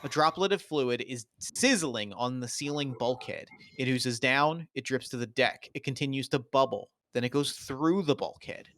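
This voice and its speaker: A man's voice: mid-range voice, moderate pace, few pauses, fairly bright tone, no echo. Man reading screenplay directions, dry close sound. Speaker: narrator reading stage directions. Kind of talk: scripted narration of a screenplay at a table read.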